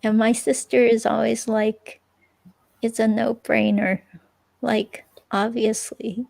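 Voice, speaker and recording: A woman acts out a wistful young woman being interviewed by police about her ex. Her voice is fairly high with a gravelly fry, and she rambles hesitantly, letting sentences trail away. It is a close, dry dramatic reading. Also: flat delivery, slow, a little mellow, no room sound, full character voice. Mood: forlorn, wistful. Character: forlorn young woman, murder suspect's ex-girlfriend, in police interview.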